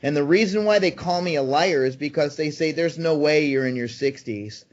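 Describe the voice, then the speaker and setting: A man's voice: a little high, normal speed. A man in his sixties, a guest in an interview, talking eagerly and persuasively with frequent ums and restarts. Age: 60s. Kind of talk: conversational interview answers. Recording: slightly dull, muffled sound.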